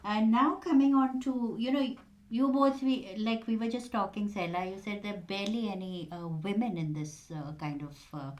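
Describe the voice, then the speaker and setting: A woman's voice: medium pitch, normal speed, slight background noise, poor audio. Encouraging woman radio host, conversational. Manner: encouraging, frequent short affirmations. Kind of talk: conversational interviewing. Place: radio interview.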